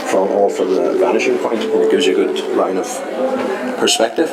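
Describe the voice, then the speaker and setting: Low male voice, Irish accent, middle-aged, hesitant informal explaining, loud music behind. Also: fairly quick, poor audio. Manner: hesitant, often adding "you know". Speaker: pantomime scenery painter.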